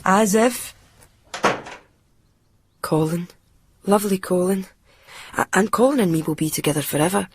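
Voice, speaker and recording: A woman's voice: mid-range voice, a little mellow, husky, no echo. A lovestruck, fragile young Glaswegian woman confides her story in a radio drama. The sound is dry and intimate, and her manner is wistful. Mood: fond, light. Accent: Scottish, Glasgow. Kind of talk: radio drama monologue and dialogue.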